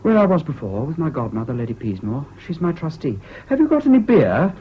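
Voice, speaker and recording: A dull, slightly hissy old radio play recording. a young English university student, whimsical and flippant, with a fairly high voice that swoops about freely. He is an impulsive, evasive charmer who chats lightly. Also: wildly swooping pitch, fairly quick. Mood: confiding, flippant. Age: young adult. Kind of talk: 1970s British radio drama acting.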